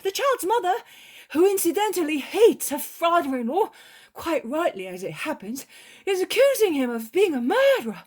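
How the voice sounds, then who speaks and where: A woman voicing an excitable male fisherman who talks out of breath and with urgency. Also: extremely high pitch, normal speed, pitched above the performer's own voice. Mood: urgent. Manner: urgent, agitated.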